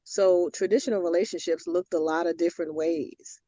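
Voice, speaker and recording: A man's voice: on the high side, steady tone, a little fast, warm tone, no room sound. A middle-aged man whose first language is Finnish, a therapist explaining his views at length in a panel debate, on dull, dry lo-fi audio. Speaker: therapist guest.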